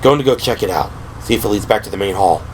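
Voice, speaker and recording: A man playing a steady, reassuring male special-police officer in an audio drama, serious but gentle and soothing. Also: on the low side, quick pace, a little hiss or hum, pitched below the performer's own voice, lightly acted, poor audio. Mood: serious, decisive. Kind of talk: audio drama character acting. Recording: music behind the voice.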